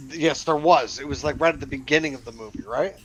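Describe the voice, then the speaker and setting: A man's voice: a little high, fast, slightly rough, faint music behind, poor audio. A man co-hosting a podcast in casual chat, rambling with restarts and swearing. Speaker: podcast co-host.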